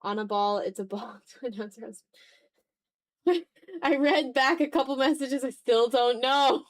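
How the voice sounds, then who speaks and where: A woman's voice: high-pitched, unhurried. A woman chatting casually on a live art stream as she draws, playful and a bit dramatic, with offhand swearing. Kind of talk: casual live-stream chat while drawing.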